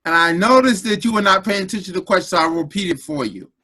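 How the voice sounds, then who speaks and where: A man's voice: high-pitched, fast talker, pushed and bright. A man leading the impromptu speaking segment of a club meeting over a video call, playful and repeating his questions. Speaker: table topics master.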